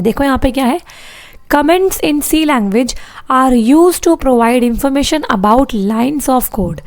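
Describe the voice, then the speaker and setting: A woman's voice: sits high, a little mellow. A woman teaching programming in an online exam-prep class, with a sore throat, explaining step by step and repeating key points.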